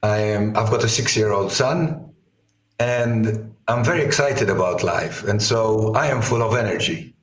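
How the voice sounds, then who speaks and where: A man's voice: lowish voice, measured pace. A man in his sixties with a partly lost voice, answering conversationally as an interview guest and often repeating words as he explains. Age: 60s. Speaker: guest, author and executive coach. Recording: roomy, echoey sound.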